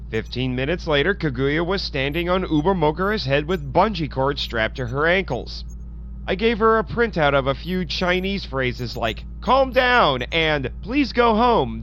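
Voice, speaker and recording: A podcast host, a man with a fairly high voice, tells an adventure story over music. His delivery is theatrical and very animated, moving between scripted narration and excited dialogue. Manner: theatrical, expressive.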